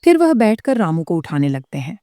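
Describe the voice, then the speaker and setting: A woman's voice: on the low side, sing-song, brisk, warm tone, no room sound, studio quality. A woman narrating a scripted horror story as its storyteller. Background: prominent music.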